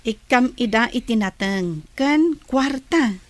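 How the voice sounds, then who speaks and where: A forgiving, compassionate wife in a radio drama, acted by a woman, with a fairly high voice. She urges kindness with sympathy. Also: lively intonation, takes it slowly, no echo. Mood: concerned.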